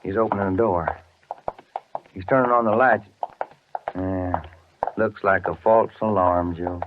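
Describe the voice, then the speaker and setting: A noisy, narrow old radio recording of a crime drama. a tired American detective with a lowish voice, folksy and plain-spoken, grumbling to his partner. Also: fairly even intonation, a little slow. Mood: casual, wary. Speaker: supporting actor in a police radio series. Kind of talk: 1950s radio drama acting, conversational.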